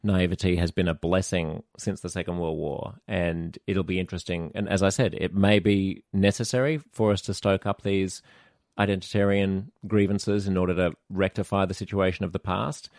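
Man, very deep voice, podcast host, thoughtful, halting, dry close sound. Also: fairly even intonation, a little mellow, no room sound. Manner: thoughtful, hesitant, with restarts. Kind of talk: conversational interview.

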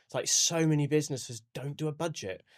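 A man's voice: on the high side, brisk. A man hosting a business podcast, steering the talk with upbeat guiding questions and frequent "you know". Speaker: podcast host.

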